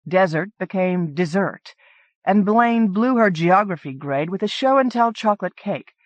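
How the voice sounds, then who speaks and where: A woman's voice: mid-range voice, animated, unhurried, no echo. Dry-sounding woman narrating fiction. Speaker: audiobook narrator.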